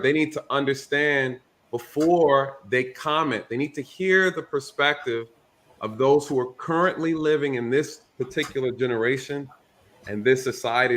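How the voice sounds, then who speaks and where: A man's voice: mid-range voice, a bit bright. Easygoing man in his thirties moderating. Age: 30s. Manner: loose, joking, many fillers. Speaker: panel moderator. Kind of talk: casual panel discussion.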